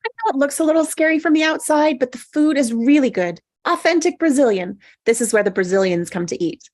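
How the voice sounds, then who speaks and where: A woman acts out a frustrated, awkward comedy bridesmaid who rambles when exasperated. Her voice sits fairly high and swings up and down in a lively way. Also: a little fast. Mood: eager to please. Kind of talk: screenplay table read acting.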